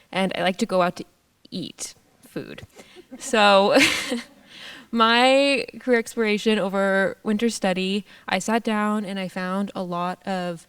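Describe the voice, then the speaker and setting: A woman's voice: medium pitch, clear, resonant tone. A young woman from Hawaii, a sophomore, introducing herself on a panel in a chatty, storytelling way. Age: young adult. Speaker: student panelist.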